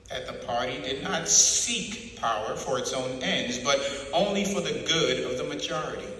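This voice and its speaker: A man narrating a novel as a dramatic reading, theatrical and deliberate in delivery. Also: mid-range voice, sharp tone. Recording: very echoey, long hall reverb.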